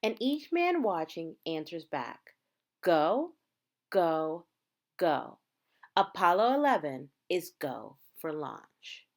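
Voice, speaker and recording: Woman doing a read-aloud for kids, takes it slow, pitch goes up and down a lot for drama. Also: very animated. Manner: measured, dramatic phrasing with emphasized words. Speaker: teacher reading a picture book aloud to students.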